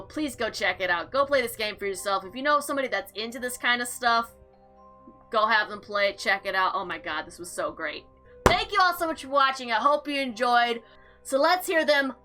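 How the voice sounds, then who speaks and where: A woman hosting a visual novel playthrough, reading aloud and reacting with animated, slangy exclamations over background music. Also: fairly high pitch. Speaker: gaming video host.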